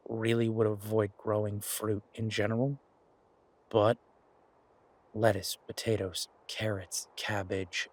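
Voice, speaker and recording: Man acting as a husky, easygoing practical boyfriend. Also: on the low side, dry recording. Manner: casual, thoughtful, explanatory, halting pauses. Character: male werewolf boyfriend, practical restaurateur pitching a farm plan. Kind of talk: roleplay audio drama, naturalistic one-sided conversation.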